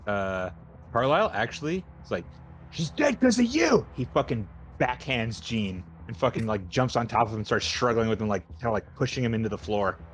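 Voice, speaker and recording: A man's voice: fairly low pitch, fairly quick, slight background noise. A man describing his character's moves in a tabletop game, casual and profane. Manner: animated, profane.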